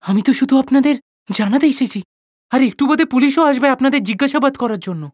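A woman's voice: sits high, quick pace, a little mellow, no echo, clean studio sound. A woman voicing an urgent village man who brings bad news in a cartoon story.

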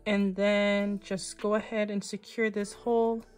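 A woman's voice: medium pitch, measured pace, clear, resonant tone, faint music behind. A woman giving patient, step-by-step crochet instructions as a tutorial host, speaking over close-up footage of her hands. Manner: calm, patient, reassuring instructions.

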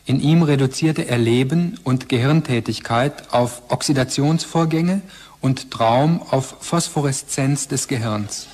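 Actor as sober mid-pitched male narrator. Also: measured pace, darkish tone, lightly acted. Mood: matter-of-fact. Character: sober documentary narrator of a radio play. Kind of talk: scripted narration.

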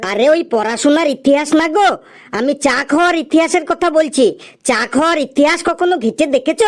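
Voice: high-pitched, brisk, lightly acted. An actor playing a wheedling, cheeky freeloading customer in a comedy cartoon, teasing in a mock-earnest way.

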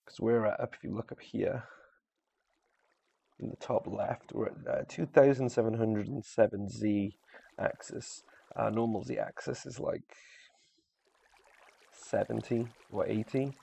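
A man's voice: lowish voice, steady tone, a little slow, creaky, pauses often, dark tone, slightly rough. A man giving a casual, unscripted video game tutorial, informal and a little hesitant as he thinks aloud. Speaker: gamer presenting a video walkthrough.

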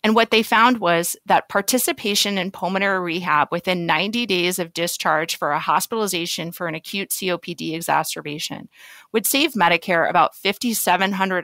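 A woman speaking as an expert medical guest in an interview, explaining in an articulate, measured way. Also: on the low side, a bit bright, no room sound.

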